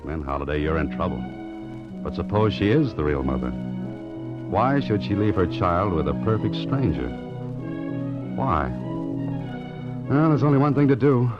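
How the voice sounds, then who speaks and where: A very deep-voiced private investigator in a 1940s radio drama. He is wry and self-mocking but soft-hearted. The old recording is dull, with clear music behind him. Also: big pitch swings, moderate pace, long pauses. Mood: wry, musing.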